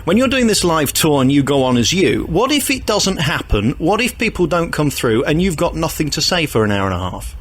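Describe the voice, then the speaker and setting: Cheeky male radio interviewer, lively pitch. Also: a little fast, hardly any pauses, a little hiss or hum. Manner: blunt, cheeky and teasing. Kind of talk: casual interview questions.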